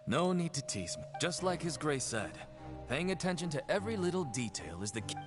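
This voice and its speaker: A man's voice: fairly high pitch, very animated, moderate pace. A man playing a cheerful, eager young male guard in game dialogue. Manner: bright, eager. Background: game music.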